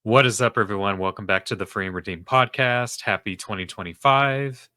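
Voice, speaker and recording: A man's voice: fairly low pitch, fairly quick, pushed and bright, soft background music. A young man hosting a faith-based podcast as a self-esteem coach, talking in an earnest, rambling way with lots of fillers, his nose stuffed up. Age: young adult. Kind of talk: casual solo podcast monologue. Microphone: podcast microphone.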